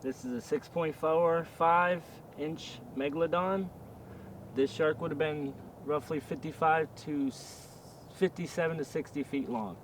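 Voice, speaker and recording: A man with a slightly high voice speaks casually in a documentary interview. Clear outdoor noise runs behind him. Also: measured pace. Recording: noisy outdoor ambience.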